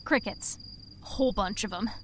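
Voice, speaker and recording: An actress playing a chatty, sheepish woman in an audio drama, talking in an animated, conversational way. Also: mid-range voice, moderate pace, slight background noise, natural voice, poor audio. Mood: eager. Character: Gail, chatty, sheepish woman sneaking a cat around.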